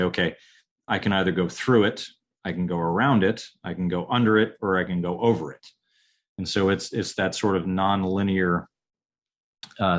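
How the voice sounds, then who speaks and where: A man in his forties, an architect, talking about his schooling in a relaxed, halting way with many pauses and restarts. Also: deep voice. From upstate New York. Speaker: architect, podcast guest. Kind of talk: casual interview answers.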